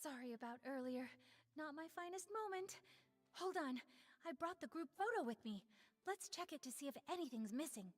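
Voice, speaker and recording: A woman's voice: sits high, animated, moderate pace, soft background music. A woman voicing a young, flustered yet determined investigator in a video game. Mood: apologetic.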